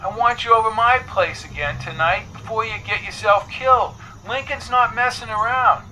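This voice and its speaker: Man voicing a high-pitched, brusque confidant. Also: moderate pace, bright, forceful tone, a little hiss or hum, rough recording. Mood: worried, bossy.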